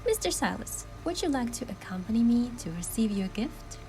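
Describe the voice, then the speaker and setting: A young heroine of a story game, acted by a woman, playful and affectionate. Her voice is a little high and softly mellow. Music sits quietly behind her. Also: sing-song, moderate pace, rough recording. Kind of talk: scripted game dialogue.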